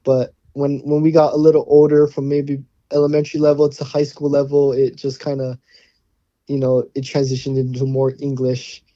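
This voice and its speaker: Mellow-voiced young man, laid-back answers. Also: mid-range voice, monotone, normal speed, dry recording, highs cut off. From Hawaii. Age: young adult. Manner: easygoing, many fillers and restarts. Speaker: guest, college football player. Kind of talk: casual interview answers.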